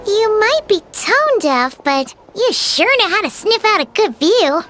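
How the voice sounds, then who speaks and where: A woman voicing a tiny, childish, excitable fairy companion girl in an anime game, with a squeaky, exclamatory delivery. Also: a little slow, faint music behind, full character voice. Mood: cheeky. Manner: speaks of herself in third person, exclamatory. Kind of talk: scripted anime game voice acting.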